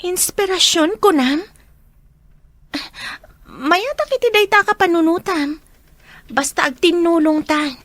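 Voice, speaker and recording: A woman's voice: very high voice, unhurried, long pauses, a bit bright, no echo. An actress playing an earnest young female student concerned for her friend, in an Ilocano radio drama.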